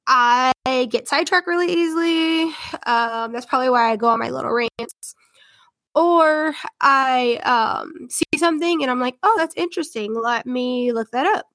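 A woman's voice: high-pitched, moderate pace, a bit bright, dry recording. A young woman with a head cold and a congested voice, hosting a casual true-crime podcast in a chatty, rambling way. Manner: chatty, rambling, filler-heavy. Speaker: podcast host.

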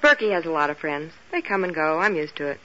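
A woman's voice: deep voice, sing-song, fast talker, bright, forceful tone, exaggerated voice. A woman playing a seductive, evasive femme fatale with a coy, hesitant manner in 1940s radio drama. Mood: coy, breezy. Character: Blanche, toy-sized seductive, evasive brunette femme fatale. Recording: muffled old radio recording.

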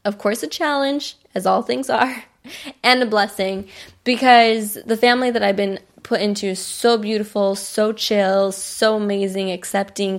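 Young American woman, podcast host, chatty and bubbly, some vocal fry. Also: medium pitch. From United States. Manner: bubbly, chatty, laughs often. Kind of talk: casual personal storytelling.

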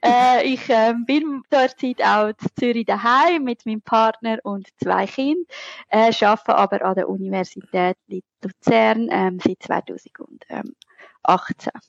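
Female podcast guest, Zurich Swiss German accent, gravelly fry in an interview delivery, dry slightly muffled recording. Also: fairly high pitch, fairly even intonation, a little slow, dry recording. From Zurich, Switzerland. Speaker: podcast guest, researcher and lecturer. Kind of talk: conversational interview answers.

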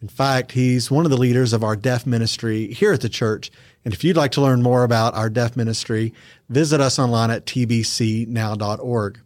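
A man's voice: medium pitch, fairly even intonation, normal speed, a little mellow, dry recording. Male co-host, scripted intro, dry studio sound. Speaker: podcast co-host introducing the episode. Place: church podcast studio.

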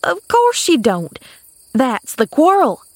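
An excitable housemaid from a storybook, acted out by a woman narrator. Her pitch rides high as she gossips in folksy, rustic, ungrammatical phrases. She is dramatic and repeats herself, recorded close and dry. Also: unhurried, no echo. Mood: conspiratorial, triumphant. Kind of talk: audiobook character voice.

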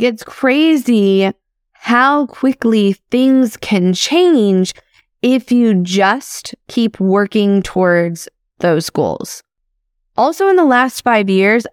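A woman's voice: mid-range voice, smooth, dry recording, studio quality. A woman in her thirties hosting a podcast, talking through personal reflections in a chatty, upbeat way full of casual fillers. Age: 30s. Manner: chatty and upbeat, with frequent "like" and "crazy". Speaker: podcast host.

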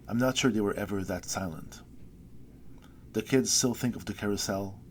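A middle-aged man, a poet, reading his poem and then talking it over in a thoughtful, hesitant way with many pauses. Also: on the low side, slight background noise. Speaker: poet guest. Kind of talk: poetry reading and interview.

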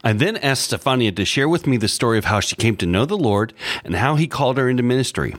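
A man hosting a podcast, reading a prepared introduction and narration smoothly. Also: mid-range voice, expressive, fast talker, no echo. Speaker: podcast host.

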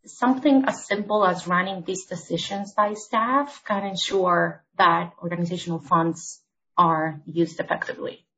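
A woman from Argentina, a consultant guest on a podcast, explaining her points in a measured, structured way. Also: on the low side, a bit bright, low-quality recording. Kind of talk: podcast interview.

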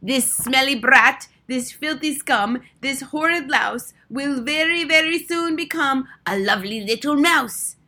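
A woman voicing a gloating evil grand witch villainess in a children's storybook, with a commanding, theatrical, drawn-out delivery. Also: sits high, a bit bright, pitched above the performer's own voice. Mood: shrieking triumph.

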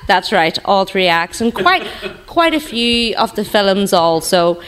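A woman's voice: medium pitch, expressive, a little slow, fairly bright tone, slight background noise. A woman with a Northern Irish accent chatting casually as a drama group guest in an interview. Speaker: amateur drama group member, radio guest.

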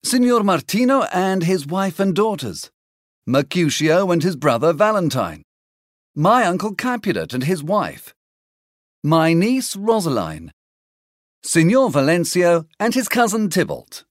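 A woman's voice: on the low side, sing-song, measured pace, no room sound, studio quality. A woman voicing Romeo, a mournful lovesick young man, in a storybook reading. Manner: mournful, lovelorn.

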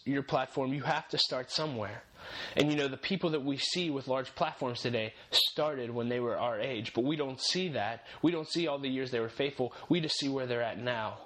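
A man's voice: mid-range voice, moderate pace, hardly any pauses, fairly bright tone. Earnest young man giving faith-based encouragement. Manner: earnest, encouraging, repeats key phrases for emphasis. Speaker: podcast host.

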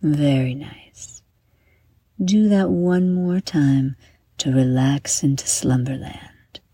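A woman narrating a scripted bedtime meditation as a guide, soothing and unhurried, letting her phrases trail off into breath. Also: low-pitched, dark tone, breathy edge.

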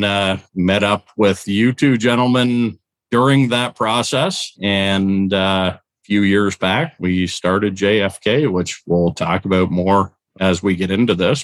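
A man's voice: low voice, no room sound. A man in his forties, a podcast co-host, telling his background in a rambling, conversational way with fillers. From Canada. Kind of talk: casual podcast chat.

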